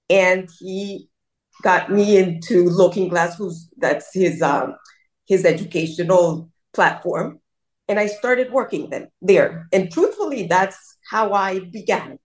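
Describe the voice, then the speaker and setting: A woman's voice: lowish voice, darkish tone, poor audio. A middle-aged woman from Colombia, telling her story in a casual interview and rambling with many restarts and self-deprecating asides, over a video call. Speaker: guest.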